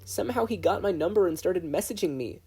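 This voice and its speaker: A man voicing a frightened, tearful preteen girl with halting, breathless delivery in an audiobook story. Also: high voice, animated, dark tone, breathy edge, voice pushed up, exaggerated voice. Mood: upset, shaky.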